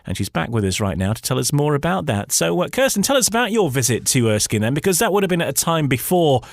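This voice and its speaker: A man's voice: a little high, big pitch swings, fast, creaky, no room sound, clean studio sound. A man hosting a veterans' radio chat show, interviewing a guest and often repeating words as he searches for the next phrase. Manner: hesitant, many repeated words when ad-libbing. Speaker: radio host.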